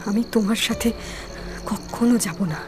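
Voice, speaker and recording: A woman's voice: on the high side, normal speed, music in the background, natural voice. A woman playing an anxious but defiant young landlord's wife in a dramatic cartoon horror story. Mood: disgusted, defiant.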